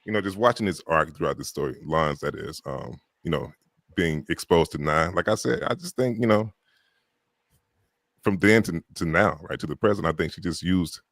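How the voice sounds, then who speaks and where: A man's voice: low voice, normal speed, creaky, a bit bright, no room sound. A man chatting loosely about narrating a story, rambling with lots of "you know" and "right". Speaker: audiobook narrator guest. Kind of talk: casual live chat.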